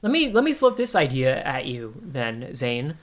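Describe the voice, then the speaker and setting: Man chatting casually, halting and rambling. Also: mid-range voice, wildly swooping pitch. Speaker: podcast co-host. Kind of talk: casual comedic chat.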